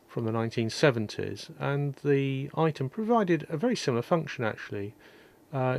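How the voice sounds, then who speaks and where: A man calmly explaining an electronics repair at his workshop bench, step by step, with frequent ums and uhs. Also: mid-range voice, creaky. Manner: calm, methodical, many ums and uhs. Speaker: electronics restorer. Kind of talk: technical repair walkthrough.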